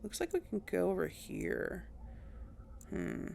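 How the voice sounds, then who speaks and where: Slow, gravelly-voiced woman gamer. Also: fairly low pitch, sing-song, darkish tone, slight background noise. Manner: thinking aloud, hesitant, chuckling. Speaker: let's play gamer. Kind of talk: casual gameplay commentary.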